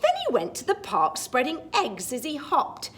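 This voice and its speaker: A woman storytime teacher reading a picture book aloud to young children, expressive and sing-song, with chatty asides. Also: sits high, normal speed.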